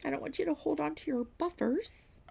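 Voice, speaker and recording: A woman vlogging at home while diamond painting, reading aloud and chatting in a self-deprecating way, with a slightly stuffy nose and frequent soft whispers. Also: high-pitched, brisk, creaky. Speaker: crafting vlogger.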